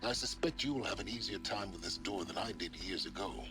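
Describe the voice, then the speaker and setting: An actor voicing a pompous, secretive older scholar in a video game, speaking in a measured, sardonic and eloquent way. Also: male voice, low voice, close to an everyday voice. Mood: brooding, grand. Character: gruff, haughty, secretive older male scholar, impatient and imperious. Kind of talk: dramatic video game voice acting. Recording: loud game music behind the voice. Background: game music.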